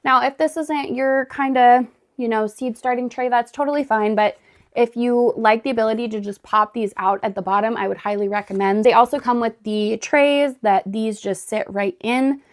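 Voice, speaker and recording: A woman vlogging casually at home, still hoarse and raspy after being sick, chatting with lots of "like" and "really" as she sorts gardening supplies. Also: a little high, fairly even intonation, normal speed. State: hoarse from recent illness. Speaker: homestead vlogger. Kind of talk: casual vlog chat. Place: indoors at home, sorting gardening totes.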